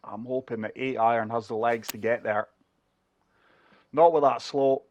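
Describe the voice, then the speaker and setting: A man's voice: mid-range voice, fairly even intonation, moderate pace, muffled recording. A man chatting through a golf video game, struggling for breath with a chest infection. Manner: chatty, talks viewers through each shot. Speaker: gaming channel host. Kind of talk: casual golf game commentary.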